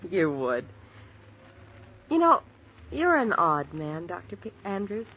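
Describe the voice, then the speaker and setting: Playful radio-drama receptionist, animated pitch. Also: female voice, slow pace. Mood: teasing. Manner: playful, teasing, breezy. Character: chatty, teasing young female hospital receptionist. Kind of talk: 1940s radio acting. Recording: muffled old radio recording, faint noise.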